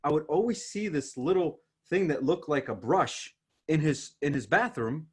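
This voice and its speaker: A man from Ukraine hosting a podcast with casual, teasing banter and animated storytelling, in a husky voice. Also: on the high side, moderate pace. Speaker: podcast host.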